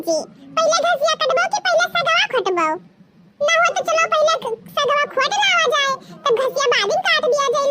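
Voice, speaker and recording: A woman voicing giggly, gossiping village women in a comedy cartoon, with an Awadhi accent, a squeaky childlike voice and a rustic, chatty manner. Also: background noise. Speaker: cartoon voice performer.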